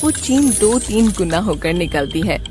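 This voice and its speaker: A woman's voice: medium pitch, lively intonation, unhurried, over music. A woman reading scripted storybook narration for a children's cartoon.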